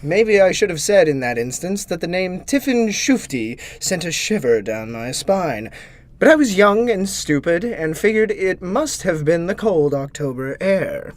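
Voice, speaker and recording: A man's voice: high voice, sing-song, measured pace. A man playing a naive, earnest young American in a comic gothic audio drama, with melodramatic, theatrical delivery. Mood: ominous, melodramatic. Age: young adult. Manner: melodramatic, earnest, hesitant with superiors. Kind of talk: theatrical first-person audio drama narration and dialogue.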